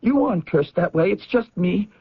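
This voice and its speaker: A man's voice: high voice, lively intonation, normal speed, slightly rough, dry recording, exaggerated voice. A man acting in a 1970s radio drama as a tormented, agitated brother convinced he is cursed, stammering and repeating himself. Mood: despairing. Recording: dull vintage radio broadcast.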